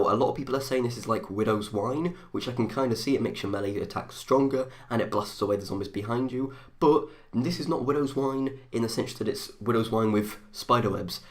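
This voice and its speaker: A young man races through his gaming commentary, thinking aloud as he goes. His voice sits a little low and sounds stuffed up from a runny nose. He rambles and rushes more than he normally would. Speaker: gaming video host.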